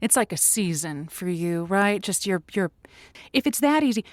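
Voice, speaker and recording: A woman plays an earnest advice show host with a chatty, encouraging way of talking. Her voice sits at a middle pitch and is recorded very dry, in a loose improvised comedy style. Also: lively intonation, moderate pace, dry recording, lightly acted. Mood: upbeat, helpful.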